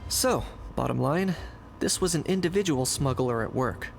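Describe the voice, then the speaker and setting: A man's voice: medium pitch, animated, normal speed, vocal fry. A man voicing a laconic, cool, practical young man in a video game. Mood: cool, matter-of-fact. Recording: faint music behind the voice.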